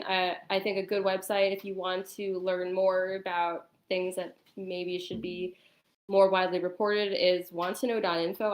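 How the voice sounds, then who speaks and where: A woman's voice: fairly low pitch, reverberant. A woman speaking casually as an interview guest on a livestream.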